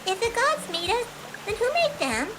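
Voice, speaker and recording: A woman voicing a little opossum girl in an animated fandub, curious and bluntly skeptical. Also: extremely high pitch, moderate pace. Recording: loud music behind the voice.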